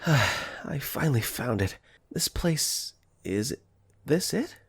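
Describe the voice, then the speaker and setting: A man's voice: medium pitch, big pitch swings, takes it slowly, slightly rough, full character voice. A man playing a wry, easygoing teenage boy. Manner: wry, conversational, joking asides. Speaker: voice actor. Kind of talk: first-person visual novel narration and dialogue.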